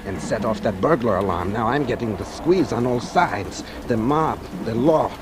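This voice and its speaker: A noisy old radio recording carries an edgy American crook acted by a man with a mid-pitched voice. He is blunt, suspicious and cornered, talking in noir gangster slang. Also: animated, moderate pace, close to an everyday voice. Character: jumpy, cornered male small-time crook, blunt and suspicious. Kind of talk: 1940s radio noir acting.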